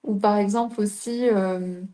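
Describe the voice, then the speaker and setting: A woman's voice: medium pitch, steady tone, measured pace, mellow, rough recording. A middle-aged woman from southern France, a coach giving a live talk and putting rhetorical questions to her listeners. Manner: explains with rhetorical questions to the audience.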